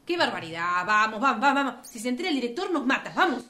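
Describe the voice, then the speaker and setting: Una mujer con acento interpreta en un radioteatro aficionado a una empleada de clínica exasperada, enérgica, regañona y mandona. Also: female voice, on the high side, sing-song, fast talker, bright, forceful tone. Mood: exasperated. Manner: scolding, bossy. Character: brisk, exasperated female clinic employee. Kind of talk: amateur radio play rehearsal.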